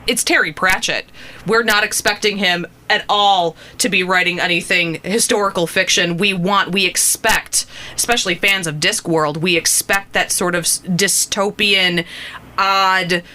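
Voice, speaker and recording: A woman's voice: fairly low pitch, fairly even intonation, unhurried, pushed and bright. A woman hosting a radio interview, talking with gushing fan enthusiasm. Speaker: radio host.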